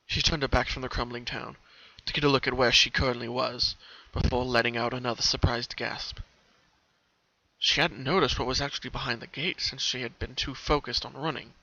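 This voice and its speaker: A male narrator gives a dramatic reading of a story. His voice is mid-pitched, bright and intense, captured on a somewhat dull, lo-fi home recording. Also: steady tone, a little fast, vocal fry, no echo. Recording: slightly muffled lo-fi home recording.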